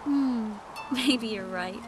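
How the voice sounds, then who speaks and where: A woman playing a tender, wistful, gently teasing young sorceress in a video game cutscene, speaking softly and intimately. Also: on the high side, extremely slow, over music. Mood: relaxed, teasing.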